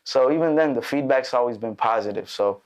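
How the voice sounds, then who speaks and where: A man's voice: mid-range voice, a little fast. A young man born in Mexico and raised in Chicago, a musician guest chatting loosely in a studio interview, full of fillers and restarts. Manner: relaxed, rambling, many fillers and restarts. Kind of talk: casual interview chat.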